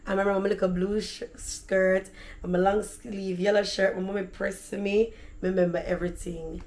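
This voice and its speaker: A woman with a Jamaican accent and a deep, raspy voice, telling stories in a chatty, animated vlog. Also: normal speed. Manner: animated, chatty, slips into patois. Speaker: vlogger. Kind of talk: casual storytelling.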